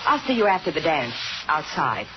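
Drifting former singer, American accent, wistful, expressive, muffled vintage radio drama. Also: female voice, sits low, normal speed. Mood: wistful, hesitant. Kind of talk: 1950s radio drama acting. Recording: muffled 1950s radio, clearly noisy.

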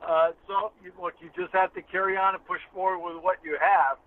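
A man's voice: high-pitched, level tone, a little fast. A man, a radio sports announcer appearing as a guest, giving conversational analysis and repeating points for emphasis over a phone-like line. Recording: thin dry phone-like line.

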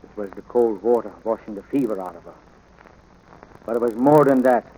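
Humble, folksy ranch hand. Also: male voice, fairly low pitch, dark tone, slightly rough. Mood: earnest, moved. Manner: folksy, halting, plain-spoken. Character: humble, kindly ranch hand, gentle and loyal. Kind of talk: 1940s radio drama acting and narration. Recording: noisy old radio broadcast.

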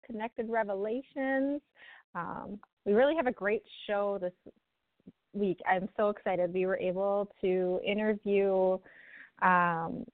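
A woman's voice: medium pitch, no echo. A woman co-hosting a radio talk show, enthusiastic and agreeable in casual chat. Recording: tinny phone-line sound.

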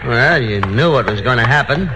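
A man's voice: medium pitch, lively intonation, normal speed, heavy background noise, close to an everyday voice. A man acting a gang boss's streetwise right-hand man in a 1940s radio drama, coaxing in familiar slang. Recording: 1940s radio broadcast with music.

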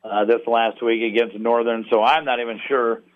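Male sports broadcaster guesting by phone, thin narrow-band line, analytical, halting delivery with mid-thought pauses. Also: medium pitch, steady tone. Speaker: radio play-by-play announcer, interview guest. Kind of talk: sports interview, analytical talk.

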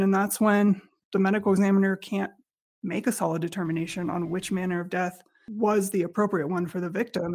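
Slightly raspy woman with a head cold. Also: on the low side. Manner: clear explanatory pace, steady and informative. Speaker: podcast guest investigator. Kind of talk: casual podcast conversation.

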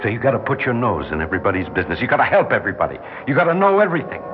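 An American actor playing a bitter, mocking cop killer on death row in 1960s radio drama, with a tough, slangy, sneering delivery. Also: male voice, mid-range voice, very animated, rapid-fire, close to an everyday voice. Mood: mocking, unhinged. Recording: dull 1960s radio recording, music behind.

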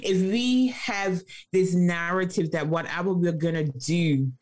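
Low-voiced woman coaching emphatically. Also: measured pace, a little mellow. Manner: emphatic, repeats phrases for rhythm. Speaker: life coach guest. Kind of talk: motivational interview talk.

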